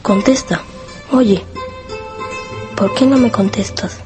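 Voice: mid-range voice, takes it slowly, natural voice. Una voz joven interpreta a un escolar solidario e insistente en una radionovela, con habla coloquial y repetitiva. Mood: anxious insistence. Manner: pressing, repetitive, colloquial. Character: caring, insistent young schoolboy classmate. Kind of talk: radio drama acting. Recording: guitar music under the voice.

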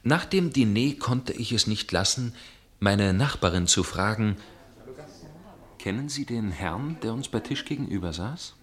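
An actor playing an inquisitive, suspicious gentleman who narrates in a German radio play, speaking in a measured, formal way. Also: on the low side, expressive. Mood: grave, intrigued.

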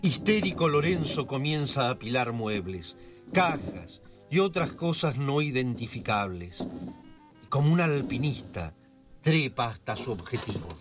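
A man narrating a scripted radio drama as a measured, theatrical storyteller. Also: mid-range voice, very animated, over music. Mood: storytelling.

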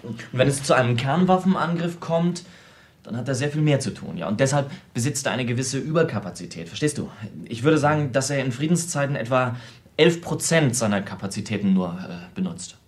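An actor playing an eccentric, self-absorbed programmer in a radio play, explaining things at length with small hesitations. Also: male voice, medium pitch, lively intonation, lightly acted. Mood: wry, explanatory. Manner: explanatory, lecturing, halting in places. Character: eccentric, self-absorbed American computer programmer, brilliant tinkerer. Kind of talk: naturalistic radio drama dialogue.

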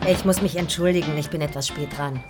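A woman's voice: low-pitched, brisk, loud music behind the voice, lightly acted. An actress playing a young female magazine publisher in a radio drama, polite, candid and direct. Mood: polite, apologetic. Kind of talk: German radio drama dialogue.

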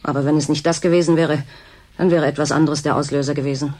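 An actress playing a blunt, disillusioned worker at a remote station in a German radio drama, curt and sardonic. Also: female voice, low voice, steady tone, fast, a little mellow. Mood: bitter, resigned.

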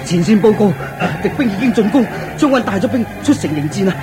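A man playing an excitable, fussing chancellor of a royal court in a comic radio drama scene. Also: high-pitched, fast. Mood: urgent. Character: flustered male chancellor of a court. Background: music.

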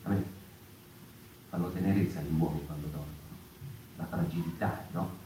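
An actor playing a rambling, impassioned storyteller in a conversational theatre monologue, digressing and seeking agreement. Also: male voice, a bass voice, steady tone, moderate pace, warm tone. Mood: tender, wry. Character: rambling, impassioned male storyteller of the mouse folk. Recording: echoey, distant, noisy live theatre recording.

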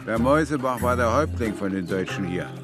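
A brooding man in a radio play, acted by a man, with a middling voice. He makes his points in short, earnest, insistent statements. Music plays clearly behind him. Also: medium pitch, lively intonation, a little fast, natural voice. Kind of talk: radio drama acting.

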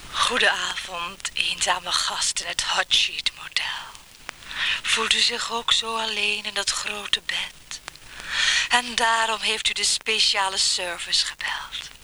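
A seductive motel phone hostess acted by a woman murmurs in a breathy half-whisper. She announces slowly and sultrily, like a recorded message in a radio play, over clearly audible noise and clicks. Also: medium pitch, fairly even intonation, close to an everyday voice.

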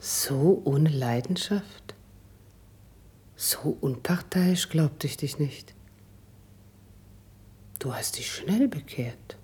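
A woman's voice: low-pitched, animated, extremely slow, long pauses, a little mellow, slightly rough, heavily acted. An actress playing a refined, tactful court lady in a classical radio verse drama, poised and articulate. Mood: calm, doubting.

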